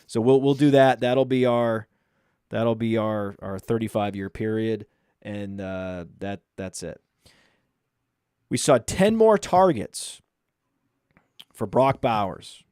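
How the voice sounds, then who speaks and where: A man from Maine with a mostly suppressed Maine accent, hosting a casual sports podcast, often repeating words and trailing off quietly. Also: on the low side, slow pace, long pauses, clean tone, dry recording, studio quality. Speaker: podcast host.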